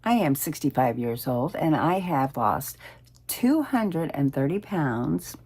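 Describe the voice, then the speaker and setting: A woman's voice: low-pitched, sing-song, slow, darkish tone, slightly rough. A woman in her sixties giving an upbeat self-introduction to camera in a video clip. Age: 60s. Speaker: guest YouTuber in a played clip.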